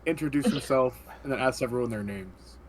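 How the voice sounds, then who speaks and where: A man playing in a tabletop game, talking in animated, casual banter and laughing often. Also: mid-range voice, a little fast, a little hiss or hum. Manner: animated, laughing, explains things. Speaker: player.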